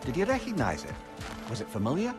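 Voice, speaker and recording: A wry old-world counsellor of a game hero, eloquent and musing. His mid-pitched voice moves up and down in a lively way, with music clearly behind it. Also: quick pace. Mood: curious, musing. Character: wry, talkative, counselling male companion and sage. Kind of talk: cinematic video game acting.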